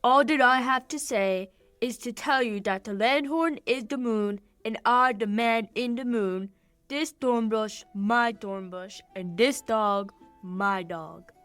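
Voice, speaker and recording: Woman as exasperated amateur player of the moon; slow, crisp, deliberate recital in Shakespeare radio comedy. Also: a little high, a bit bright, no echo, soft background music. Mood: plodding, exasperated. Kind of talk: 1940s radio play acting of Shakespeare.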